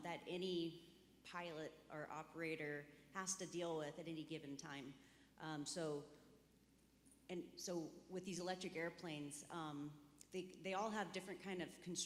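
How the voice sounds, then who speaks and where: A woman with a low-pitched voice moderates a conference discussion in an informal way. Her voice echoes strongly through the hall, over faint background noise. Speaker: panel moderator.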